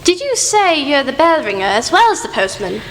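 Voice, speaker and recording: A woman's voice: high-pitched, sing-song, normal speed, a little hiss or hum. A woman playing a practical, sympathetic doctor and detective's companion in a radio play, polite and conversational. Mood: curious. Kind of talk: amateur radio play acting. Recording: echoey hall, faint music.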